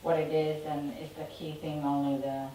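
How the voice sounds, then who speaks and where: A woman's voice: low voice, little pitch movement, moderate pace, warm tone, slight background noise. A woman, the costume designer, speaking hesitantly at a film Q&A, trailing off as she searches for words. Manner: hesitant, trailing off mid-thought. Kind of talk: guest answering at a film Q&A. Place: cinema hall. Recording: echoey cinema hall from far off.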